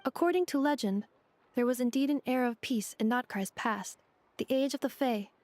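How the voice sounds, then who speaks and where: An actress plays a courteous female android guide from a fantasy game. The voice is fairly high, with composed, precise and formal phrasing. Music runs behind it. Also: moderate pace, a little mellow, dry recording, natural voice. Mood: composed, informative. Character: polite, knowledgeable female robot guide. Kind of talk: video game exposition acting.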